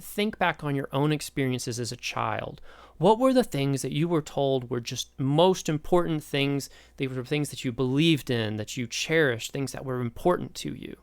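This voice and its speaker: Gravelly-voiced man lecturing with enthusiasm. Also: on the high side, no room sound. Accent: Midwestern American. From East Tennessee, Appalachia. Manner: enthusiastic, chatty, asks the listener questions. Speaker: sociology lecturer. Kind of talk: recorded online lecture.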